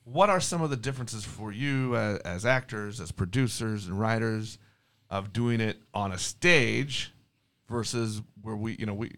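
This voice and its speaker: A man's voice: fairly low pitch, measured pace, a bit bright. A man co-hosting a podcast, asking questions hesitantly with frequent restarts. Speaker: podcast co-host. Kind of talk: podcast interview.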